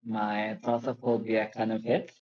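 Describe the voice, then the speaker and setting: A man's voice: fairly low pitch, level tone, moderate pace. A man co-commentating on a book read-along in short casual reactions, on a dull lo-fi recording. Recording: dull lo-fi voice sound.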